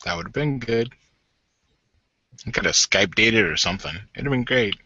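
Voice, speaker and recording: Man playing a nervous, bright-voiced bridegroom. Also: fairly low pitch, animated, no room sound, dull audio. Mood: earnest, awkward. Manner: halting, formal, earnest. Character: nervous, polite, bashful young warrior bridegroom. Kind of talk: improvised tabletop roleplay.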